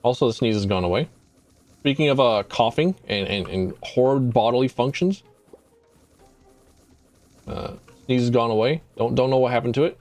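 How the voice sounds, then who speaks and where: A man's voice: mid-range voice, normal speed, pauses often, darkish tone, no echo, soft background music. A man streaming a video game, chatting casually in a rambling, wry way and often correcting himself. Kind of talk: casual gameplay commentary.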